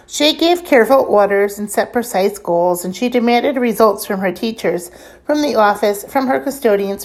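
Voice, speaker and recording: A woman reading a children's book aloud as scripted narration, leaning deliberately on key words and lists. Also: on the high side, a little mellow.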